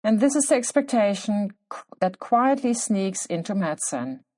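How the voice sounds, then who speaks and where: A middle-aged woman, a clinician whose first language is German, speaking English with a German accent as she narrates her own podcast in a measured, deliberate way with emphatic pauses. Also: medium pitch, lively intonation, dark tone. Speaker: podcast host. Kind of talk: scripted solo podcast narration.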